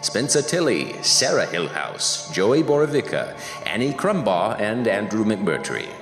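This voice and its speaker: Male announcer, low, theatrical, very lively pitch; echoey with music. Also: a little slow. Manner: theatrical, punning. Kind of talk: scripted credits narration. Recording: echoey room with music under.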